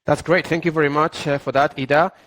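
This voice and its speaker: A man's voice: fairly high pitch, steady tone, a little fast, a bit bright, no echo. A man moderating a webinar, politely reading out audience questions with fillers.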